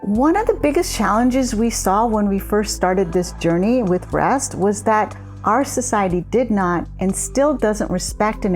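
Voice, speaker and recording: A woman co-founder giving a measured, explanatory account in a scripted promotional conversation. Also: medium pitch. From immigrant. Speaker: co-founder of a coaching method.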